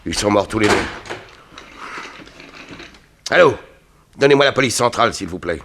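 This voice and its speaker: A man playing a courteous, composed gentleman writer with precise speech in a 1960s French radio drama. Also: fairly low pitch, lively intonation, fast talker, slight background noise. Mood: urgent.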